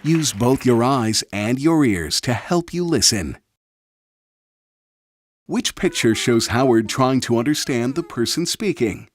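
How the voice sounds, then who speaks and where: A man's voice: medium pitch, animated, unhurried, a loud crowd, heavy background noise. A man hosting a read-along quiz for children, giving instructions in short, simple phrases. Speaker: narrator and quiz host for children.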